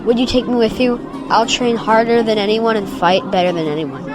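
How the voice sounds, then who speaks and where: An eager young boy, played by a male actor with a high voice. He speaks earnestly in an audio drama, with music and a crowd behind him. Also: a little fast, natural voice. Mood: eager, hopeful.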